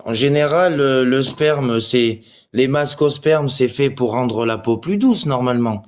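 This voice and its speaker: A man's voice: medium pitch, unhurried, clear, resonant tone. A man, crude and abusive, arguing with commenters on a livestream and swearing freely. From Portugal. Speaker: live stream participant. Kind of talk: livestream chat replying to commenters.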